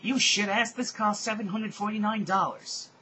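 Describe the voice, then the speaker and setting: A man's voice: on the high side, animated, fast, bright, forceful tone, a little hiss or hum, voice pushed up. A man voicing a bratty, spiteful, easily outraged teenage girl in a melodramatic, exclaiming way for a story reading.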